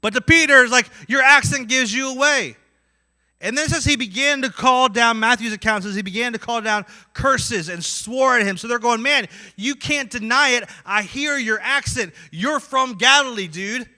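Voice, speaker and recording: Midwestern guy preaching, high voice, super bright and sharp, acts out the voices and gets loud then soft. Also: moderate pace. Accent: Midwestern American. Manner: animated, acts out inner voices with swings in loudness. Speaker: pastor. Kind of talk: sermon.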